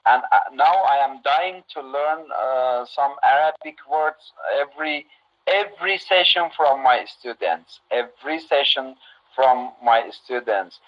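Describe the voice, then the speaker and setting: Slow, halting Iranian man teaching. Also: mid-range voice, dull audio. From Iran. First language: Turkish. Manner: halting, many fillers and pauses. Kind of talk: online class talk to students.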